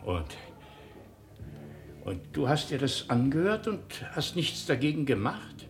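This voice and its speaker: Defensive businessman husband, radio drama: medium pitch, extremely animated intonation, dismissive and evasive. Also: a little slow, soft background music. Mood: tense, disbelieving. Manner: indignant, dismissive. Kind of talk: German radio drama acting.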